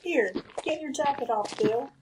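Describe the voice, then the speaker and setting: An actress playing an anxious, pleading frontier wife in a radio western. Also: female voice, high voice, a little slow, background noise. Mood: worried, urgent. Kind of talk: old-time radio western acting.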